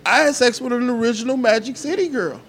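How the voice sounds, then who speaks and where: A man's voice: very high voice, quick pace, gravelly, background noise. A middle-aged man, a podcast guest telling loose, joking, profane stories in casual chat. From Atlanta, Georgia. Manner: laid-back, joking, profane storytelling.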